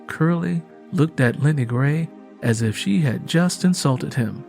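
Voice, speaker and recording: A man's voice: a little high, a little slow, creaky, a little mellow. A man narrating a story in a dramatic, scripted audiobook style. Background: music.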